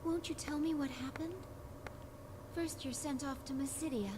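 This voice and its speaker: An actress playing a gentle, concerned young woman in a video game, tender and pleading. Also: high voice, steady tone, moderate pace, natural voice. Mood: worried. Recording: noisy game ambience behind.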